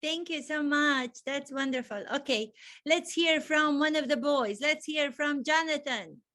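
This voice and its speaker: A woman's voice: high voice, unhurried, sharp tone. A woman acting coach hosting a video call, encouraging and full of praise for her students.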